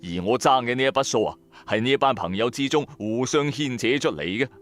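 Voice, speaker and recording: A man's voice: medium pitch, brisk, close to an everyday voice. A man playing a bankrupt, hot-tempered trader who narrates his own story in colloquial, emphatic style for a radio drama. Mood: anxious, aggrieved. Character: bankrupt male electronics trader, hard-pressed, hot-tempered, streetwise. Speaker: first-person narrator and lead. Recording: background music under voice. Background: music.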